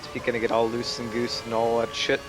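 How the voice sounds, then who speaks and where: A man's voice: medium pitch, completely flat delivery, fast. A young man casually commentating on a racing game, joking and swearing now and then. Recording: commentary over game engine noise. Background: racing game engine sounds.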